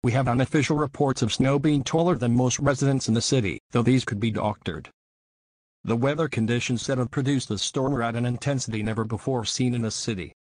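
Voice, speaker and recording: A man's voice: medium pitch, brisk, darkish tone, dry recording, lightly acted, low-quality recording. A man reading a scripted, news-style report on severe weather.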